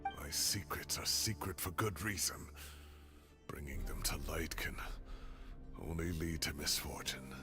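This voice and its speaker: A man playing a weary, guilt-laden older professor in a video game, halting and sometimes breathy, with music under the voice. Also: rumbling low, whispered. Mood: weary, guarded. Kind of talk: scripted video game drama.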